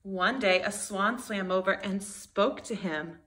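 A woman's voice: mid-range voice, takes it slowly, fairly bright tone. A woman in her thirties, a kindergarten teacher reading a storybook aloud expressively to young children.

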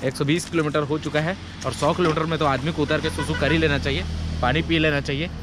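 A man's voice: a little high, level tone, fast. A man in his thirties talking casually to viewers at a roadside stop on a road trip. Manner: directs people loudly, talks straight to viewers. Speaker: fellow vlogger on the trip. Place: roadside on a highway, outdoors. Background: loud traffic noise.

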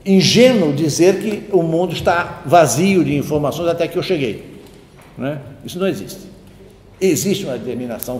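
A man's voice: fairly high pitch, darkish tone. Lecturing man in an echoey hall. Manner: discursive, digressive, with frequent tag questions. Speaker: university lecturer. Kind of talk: spoken lecture. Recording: roomy hall with faint ambient noise.

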